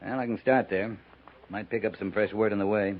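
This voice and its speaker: An actor playing a seasoned, understated frontier cavalry captain in a 1950s radio western, speaking in a clipped, dry way. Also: male voice, fairly low pitch, steady tone, fairly quick, close to an everyday voice. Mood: dry, matter-of-fact. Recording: muffled, noisy 1950s radio recording.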